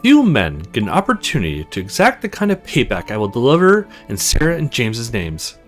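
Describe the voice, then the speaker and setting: A man voicing a shrewd, quietly vengeful older lawyer in a visual novel, speaking formally and deliberately. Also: mid-range voice, huge pitch swings, faint music behind. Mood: grim, resolute.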